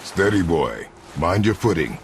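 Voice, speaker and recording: A man acting a stern, brooding warrior father in a video game, gruff and gravelly, speaking in terse, clipped commands. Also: sits low, very animated, measured pace, slight background noise.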